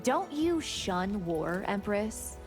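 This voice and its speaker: Slow, scornful vampire woman. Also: mid-range voice, big pitch swings, faint music behind. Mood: menacing, scornful. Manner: taunting, measured. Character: proud, menacing female vampire, bloodthirsty and scornful. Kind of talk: video game character dialogue.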